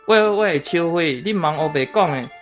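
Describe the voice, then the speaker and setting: A man's voice: on the high side, animated, moderate pace, music in the background, natural voice. A man with a Taiwanese accent playing a good-humoured, self-justifying older brother in a radio drama. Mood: teasing, aggrieved. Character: good-humoured, aggrieved adult brother reminiscing.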